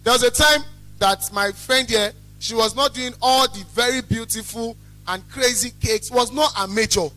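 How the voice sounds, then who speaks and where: High-pitched, bright-voiced young Nigerian man. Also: normal speed, faint music behind, low-quality recording. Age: young adult. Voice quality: loud, carrying. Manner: emphatic, blunt, repeats phrases for effect. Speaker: small chops business owner, guest speaker. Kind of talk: motivational talk to a student audience.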